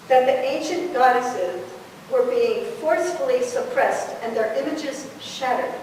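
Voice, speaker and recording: A woman's voice: high voice, a little hiss or hum. An older woman giving an earnest, reflective spiritual talk to a congregation. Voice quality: fairly loud voice. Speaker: guest speaker. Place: church hall. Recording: echoey hall from far off.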